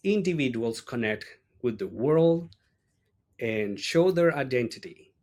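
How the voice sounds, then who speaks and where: A man in his late thirties with a Colombian accent, a native Spanish speaker, reflecting on his life as an interview guest in halting, thoughtful phrases. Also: medium pitch, a little mellow. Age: late 30s. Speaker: interview guest, language teacher. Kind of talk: reflective personal interview talk.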